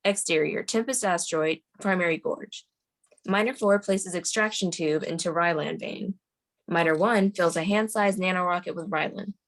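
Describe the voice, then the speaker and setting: A woman's voice: fairly low pitch, moderate pace. A woman reading scripted stage directions aloud as the narrator of a screenplay table read.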